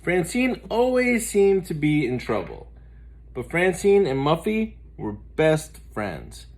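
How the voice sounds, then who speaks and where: Man reading a storybook with lively pitch. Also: slow. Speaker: teacher reading aloud. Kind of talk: expressive picture-book read-aloud.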